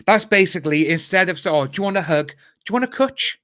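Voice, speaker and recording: Man, 30s, Cardiff Welsh accent, slightly high voice, chatty, jokey, dry sound, video call. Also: lively intonation, fast talker, a bit bright, dry recording. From Wales. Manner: chatty and jokey, laughs often, says "mate". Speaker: guest. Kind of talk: casual chat.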